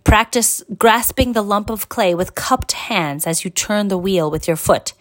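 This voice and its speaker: A woman voicing a patient, encouraging male master potter who teaches in a measured, instructive way. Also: mid-range voice, no room sound, close to an everyday voice. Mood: instructing.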